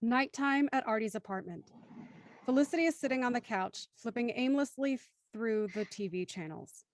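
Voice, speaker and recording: A woman's voice: on the high side, animated, normal speed, no room sound. A woman reading scripted, present-tense narration for an audio drama as its narrator.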